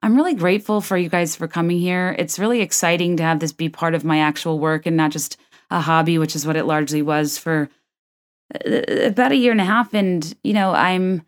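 A woman in her thirties hosting a solo podcast, chatting in a wry, rambling way with a low, soft late-night voice. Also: a little fast. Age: 30s. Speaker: podcast host. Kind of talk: casual solo podcast chat.

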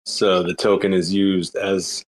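Man, somewhat low voice, conversational explainer in casual group talk. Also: flat delivery, a little slow.

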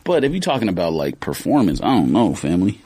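A man's voice: low voice, very animated, fast talker, warm tone. An American man co-hosting a casual sports podcast, talking in slang and reasoning his way through counterpoints. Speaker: podcast co-host. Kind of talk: casual sports debate and chat.

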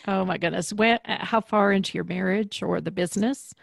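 A woman's voice: mid-range voice, steady tone, a little fast, vocal fry, no room sound. A woman hosting an interview, reacting with enthusiasm and asking follow-up questions. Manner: warm backchannels, enthusiastic reactions. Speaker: podcast host. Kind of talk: conversational interview.

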